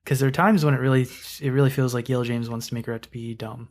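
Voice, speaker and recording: A man's voice: mid-range voice, steady tone, quick pace. A man co-hosting a podcast, talking casually and analytically. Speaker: podcast co-host. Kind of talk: casual analytical chat about writing.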